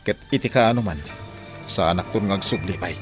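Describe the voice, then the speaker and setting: A man's voice: deep voice, moderate pace, over loud music, close to an everyday voice. A man acting a stern, fed-up grown son in a radio drama, lecturing firmly with an Ilocano accent. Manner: firm, lecturing. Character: stern, fed-up grown son scolding his gambling mother.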